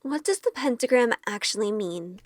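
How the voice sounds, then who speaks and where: A woman's voice: a little high, animated, normal speed, clean studio sound. An actress playing a sheltered, earnest young woman who is new to witchcraft, in an audio drama. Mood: awed, curious. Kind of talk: audio drama acting with first-person narration. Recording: long reverb, music behind. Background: music.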